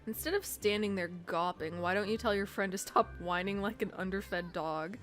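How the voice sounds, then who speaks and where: A woman's voice: medium pitch, music in the background, close to an everyday voice. Woman reading as earnest, formal fantasy game adventurer. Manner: formal, measured, courtly.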